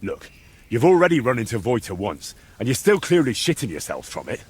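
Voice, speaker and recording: A man's voice: medium pitch, big pitch swings, brisk, breathy edge. A man playing a bold, blunt young hero in a medieval video game, direct and wryly challenging. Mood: stern, challenging. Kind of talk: video game dialogue acting.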